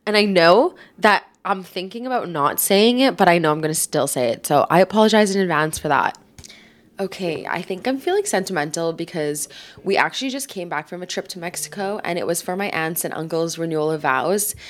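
A woman's voice: lowish voice, animated, a little fast. A woman in her twenties hosting a casual solo podcast, chatty and rambling, filling her talk with "like", with a stuffy nose from a cold. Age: 20s. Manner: chatty, rambling, says "like" a lot. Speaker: podcast host.

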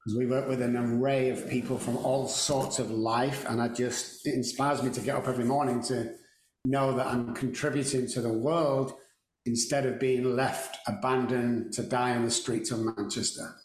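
A man's voice: mid-range voice, steady tone, moderate pace. Mancunian man telling stories, echoey room. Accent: Mancunian English. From England. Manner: chatty, joking, self-deprecating. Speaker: podcast guest. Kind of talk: casual storytelling interview.

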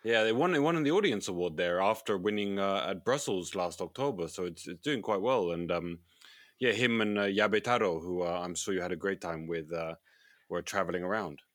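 A tired man with low energy co-hosting a podcast, talking casually and hesitantly, often repeating words. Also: a little fast, no room sound, studio quality. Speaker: podcast co-host, film distributor.

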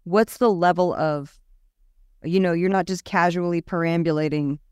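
A woman co-host asks question after question in a loose, chatty way, full of "like" and "you know". Her voice is smooth and clear. The sound is close and dry. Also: lowish voice, steady tone, moderate pace, darkish tone, no room sound. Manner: curious, chatty, lots of questions and fillers. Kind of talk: casual health talk.

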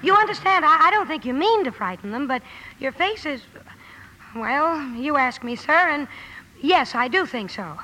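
A woman's voice: sits high, expressive, normal speed, bright, forceful tone. An actress playing a blunt, impulsive young woman in a rambling, self-correcting way, in 1950 radio drama style. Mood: frank, earnest. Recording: noisy vintage 1950 radio broadcast.

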